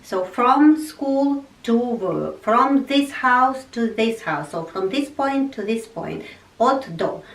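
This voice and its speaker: A woman teaching a language lesson at an unhurried pace, patiently repeating each example. Her voice sounds a bit distant from the mic. The room around her is echoey. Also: medium pitch.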